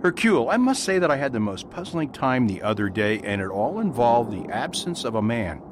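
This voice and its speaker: A man playing a gentle, thoughtful parish priest in a radio play, speaking in a mild, measured, consoling way. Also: medium pitch, big pitch swings, close to an everyday voice. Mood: musing, amused. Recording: faint background noise.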